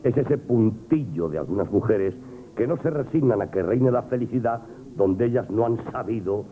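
An actor playing a stern, proud shopkeeper, blunt and scolding, in a Spanish radio play. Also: male voice, on the low side, animated, moderate pace, dark tone, natural voice. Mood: cold, reproachful. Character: stern, wounded, proud shopkeeper, father of a daughter. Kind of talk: Spanish radio theatre acting, 1970s. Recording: muffled, noisy old radio broadcast.

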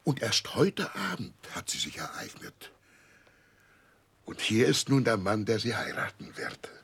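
An actor playing a warm-hearted, simple older fisherman uncle in a 1960s radio play, telling things in a hearty, halting way with a husky, rough voice. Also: lowish voice, wildly swooping pitch, in a whisper, full character voice. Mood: overjoyed, proud. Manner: hearty, halting storytelling with emphatic pauses.

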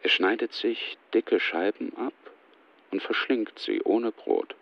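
A man's voice: on the low side, very animated, slow, gravelly, highs cut off. A man reading terse scripted narration of the action in a radio play, sober and understated.

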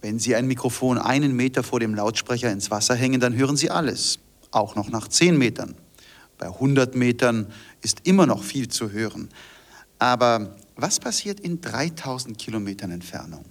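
A man with a medium-pitched voice reads a scripted voice-over. He serves as the translator of an interviewee in a radio feature. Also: lively intonation, moderate pace.

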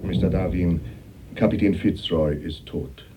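An actor playing a grave, deferential manservant in a German radio play, speaking formally and hesitantly. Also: male voice, low voice, unhurried. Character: grave, deferential manservant bringing bad news. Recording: noisy old radio recording.

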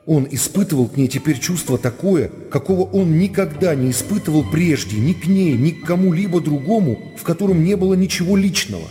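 A man's voice: on the high side, expressive, fairly quick, few pauses, warm tone, long reverb tail. A man reading scripted literary prose as a dramatic narrator for a radio production. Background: music.

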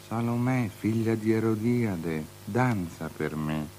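An actor playing a capricious, lustful, superstitious tetrarch in avant-garde radio theatre, declaiming and lurching between outbursts and breathy murmurs. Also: male voice, on the low side, slow, darkish tone, faint music behind. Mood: coaxing. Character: capricious, lustful, superstitious male tetrarch, unstable and self-pitying. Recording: noisy, humming old radio drama recording.

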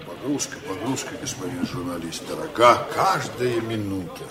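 An actor in a Soviet radio play as a steady, evasive Estonian sea captain, speaking deliberately and with authority. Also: male voice, on the low side, a crowd in the background, lightly acted. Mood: evasive, polite. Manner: measured, deliberate, hesitating before answers. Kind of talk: Soviet radio drama acting.

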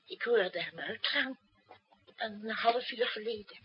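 A woman's voice: a little high, slow. An actress playing a mild elderly mother in a 1960s radio drama. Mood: mild, uncertain. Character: mild elderly mother of the household, half blind. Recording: muffled, noisy, distant 1960s radio sound.

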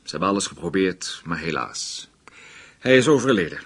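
A methodical chief of detectives in a radio drama. His husky voice moves widely up and down in pitch. He is authoritative and insistent, used to giving orders. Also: lively intonation, normal speed, a bit bright, full character voice. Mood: measured, probing.

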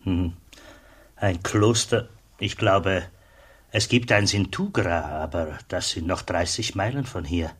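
An actor playing a friendly, hospitable customs officer at a border post in a radio play, with a husky voice. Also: male voice, low-pitched, slow, fairly bright tone, full character voice. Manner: kindly, hospitable.

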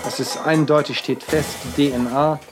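A man, an artist, telling a rambling personal account in halting speech with frequent fillers and restarts. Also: a little high, fairly even intonation, over music.

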